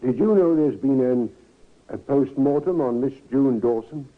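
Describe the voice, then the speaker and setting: Male actor as formal detective inspector, mid-pitched voice, measured and probing, thin vintage radio sound. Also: close to an everyday voice. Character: formal, methodical male Scotland Yard police inspector. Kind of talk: old-time radio acting. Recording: tinny vintage radio recording.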